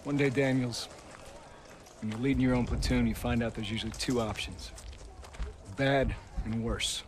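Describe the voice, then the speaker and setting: A resolute, fatherly lieutenant in a war game , played by a male actor. He speaks at a middling pitch in a measured, grave manner, with clear outdoor noise around him. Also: fairly even intonation, close to an everyday voice. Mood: grave, mentoring. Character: steady, principled army lieutenant, fatherly and resolute. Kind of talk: cinematic war game acting.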